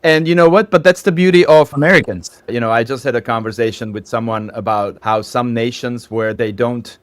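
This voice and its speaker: A man in his fifties from Slovakia with a Slovak accent, a podcast guest who explains at length with asides. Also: medium pitch. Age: 50s. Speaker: guest, startup founder. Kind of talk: podcast interview.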